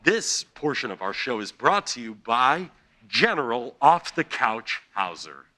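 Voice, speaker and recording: A man's voice: medium pitch, big pitch swings, a little slow. A man narrating and announcing a radio play in a dramatic, scripted storytelling style. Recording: music loud behind the voice.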